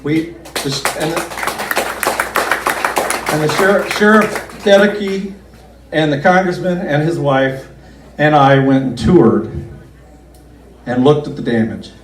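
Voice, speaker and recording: A man who is a county commissioner giving a folksy, earnest campaign speech at a picnic, slowing down to stress his points. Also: fairly high pitch. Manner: folksy, earnest, with slow emphatic stretches. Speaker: county commissioner running for reelection. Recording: roomy, distant PA-style recording.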